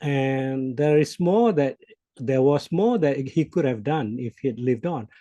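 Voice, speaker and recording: Mellow-voiced Malaysian man in his sixties. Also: mid-range voice, measured pace, dry recording. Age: 60s. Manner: reflective, with frequent hesitations and soft laughs. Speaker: CEO coach guest. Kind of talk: interview conversation.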